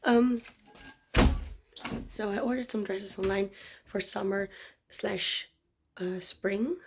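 A woman's voice: medium pitch, steady tone, slow, heavy background noise. A young Dutch woman in her twenties with a Dutch accent, chatting casually to her phone camera at home and laughing at herself. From Netherlands. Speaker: vlogger. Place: small apartment indoors. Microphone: phone held at arm's length.

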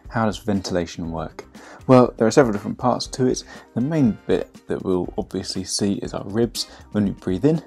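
A man's voice: on the low side, moderate pace, few pauses, roomy sound, soft background music. Young man teaching biology in a kitchen. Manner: clear, patient explaining with a steady teaching rhythm. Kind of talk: educational explainer. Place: home kitchen.

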